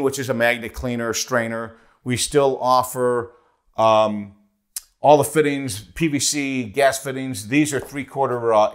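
Man explaining heating gear at a whiteboard. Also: mid-range voice. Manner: practical, conversational, points and holds up parts. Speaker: HVAC product specialist. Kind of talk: product walkthrough video. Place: bright studio in front of a whiteboard.

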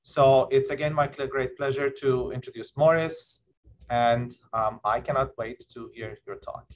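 Halting man introducing a keynote speaker. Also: mid-range voice, fairly even intonation, a little hiss or hum. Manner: halting, many fillers and restarts. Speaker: session chair introducing the keynote. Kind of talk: formal introduction.

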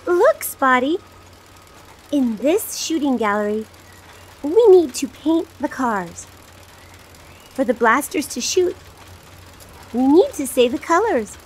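A woman's voice: high-pitched, sing-song, slight background noise, heavily acted, poor audio. A woman voicing a cheerful, helpful little girl in a children's cartoon, talking simply and encouragingly to young viewers. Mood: friendly, explaining. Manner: slow, simple, encouraging, pausing after key words.

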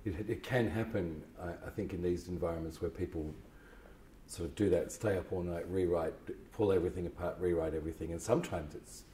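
A man's voice: low-pitched, brisk, dark tone. A man in his fifties asking questions as a casual interviewer, seated in a small room. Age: 50s. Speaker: interviewer, literary manager. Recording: roomy sound, faint background noise.

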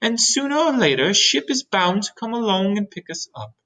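A woman's voice: medium pitch, sing-song, brisk, a bit bright, pitched above the performer's own voice, low-quality recording. A woman voicing an earnest, hopeful young boy in a children's story, speaking emphatically. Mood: calm confidence.

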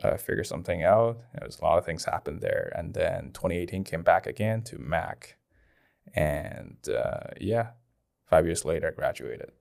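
A man's voice: low voice, expressive, moderate pace, vocal fry, darkish tone. A man in his twenties from China with a Chinese accent, telling his story casually as a podcast guest on a close studio mic. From Dalian, China. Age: 20s. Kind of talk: casual personal testimony in an interview. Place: small indoor podcast studio. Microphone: close boom-arm studio microphone.

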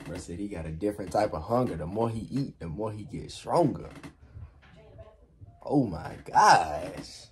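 A young man hosting a music reaction, hyped and slangy, swinging from loud exclamations to mumbled asides in a small bedroom. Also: on the low side, expressive, unhurried. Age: young adult. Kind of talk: excited reaction commentary.

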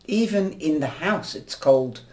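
A woman giving a weekly tarot horoscope reading in a gentle, chatty, rambling way, her voice a little nasal from a cold. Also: sits low, animated, a little slow. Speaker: tarot reader. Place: indoors at a table, camera over the cards.

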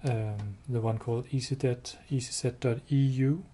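A man giving a hands-on product demo indoors, explaining step by step in a halting, matter-of-fact way. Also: mid-range voice, fairly even intonation, warm tone. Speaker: product demonstrator. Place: indoors, showroom with wall-mounted devices. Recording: clear background noise.

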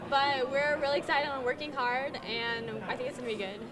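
A young woman, a high school volleyball player, giving short soft-spoken interview answers. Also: brisk, crowd noise.

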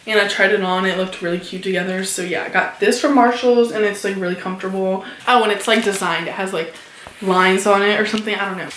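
A woman in her late teens talking casually in a haul vlog, filling her speech with "like" and sounding a little hoarse from a cold. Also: mid-range voice, fairly even intonation, fast, a bit bright, echoey room, a little hiss or hum. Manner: chatty, many "like" fillers, upbeat about purchases. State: recovering from a cold, somewhat hoarse. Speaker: vlogger.

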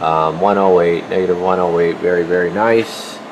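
Guy tinkering in his radio shop, kinda low voice, talks to himself, noisy hum behind him. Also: normal speed. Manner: informal, muttering to himself as he works. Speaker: radio repair technician, video host. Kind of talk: casual hands-on workbench demonstration. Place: indoor radio workshop at an electronics bench. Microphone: handheld camera, behind the lens. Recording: noisy room with steady equipment hum.

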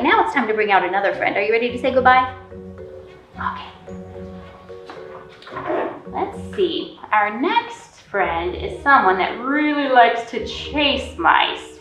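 A woman speaks to toddlers as a storytime host, in a high voice and at a slow pace. She uses simple, encouraging phrases and questions. The sound is roomy, picked up from a little way off. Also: a bit bright. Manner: encouraging, simple child-directed talk with questions and praise. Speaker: children's librarian and storytime host. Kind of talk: children's storytime, talking and singing to toddlers. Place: indoor library room with painted mural. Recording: roomy, distant camera pickup.

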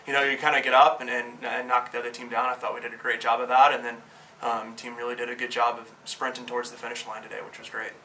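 Fast-talking, bright-voiced man coaching. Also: mid-range voice, flat delivery. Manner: quick, upbeat, fluent with fillers. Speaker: head coach. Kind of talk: post-game press interview. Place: press backdrop room. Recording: slightly distant, faint room noise.

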